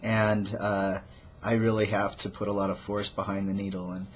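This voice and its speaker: A man talking casually to his camera at home in a personal video diary, hesitant, with restarts and soft laughs. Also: lowish voice, little pitch movement, moderate pace, slight background noise. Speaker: vlogger.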